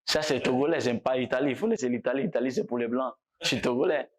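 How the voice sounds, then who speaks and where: A man from Togo chats as the guest in a casual interview, at an ordinary mid pitch. He speaks in a relaxed, halting way and often repeats his words as he goes. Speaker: musician guest.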